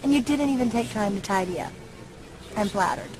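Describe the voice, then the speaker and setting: A woman's voice: fairly high pitch, brisk, music in the background, close to an everyday voice, poor audio. An actress playing a catty, condescending young society woman in a video game, with affected false sweetness. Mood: mock sweet. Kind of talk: video game dialogue acting.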